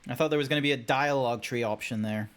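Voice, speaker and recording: A man's voice: medium pitch, fast talker, fairly bright tone. A man streaming a video game, chatting and joking playfully about the scenes. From Australia. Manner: jokey, chatty, teasing. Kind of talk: playful gameplay commentary. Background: game music.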